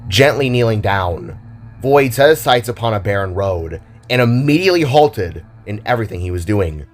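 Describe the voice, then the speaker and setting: Low-voiced man narrating a story over music. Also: expressive, normal speed. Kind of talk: dramatic scripted story narration.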